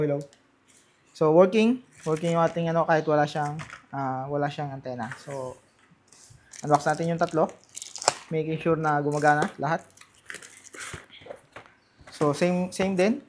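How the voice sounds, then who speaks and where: A man's voice: a little high, normal speed, long pauses, slight background noise. A Filipino man doing casual unboxing and testing commentary as a vlogger, talking informally straight to his viewers and mixing in English. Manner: informal, addresses viewers directly, mixes Tagalog and English.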